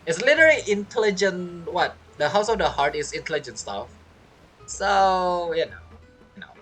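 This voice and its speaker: A man's voice: high voice, very animated, moderate pace, a bit bright, soft background music. A man streaming a game playthrough with casual commentary, musing aloud and making joking asides.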